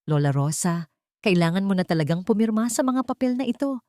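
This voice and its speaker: A woman's voice: mid-range voice, animated, fairly quick, dry recording, clean studio sound, natural voice. Woman voicing a pushy, scheming daughter-in-law. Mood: urgent, pushy. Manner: insistent, wheedling, sharp. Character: scheming, pushy daughter-in-law, sweet-talking then viciously angry.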